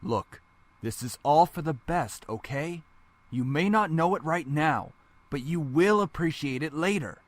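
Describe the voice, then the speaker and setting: A man's voice: fairly high pitch, expressive, unhurried, no room sound. A man playing a cold, domineering middle-aged father in a visual novel, stern and condescending in manner. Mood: stern, irritated. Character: cold, domineering, angry father and business executive.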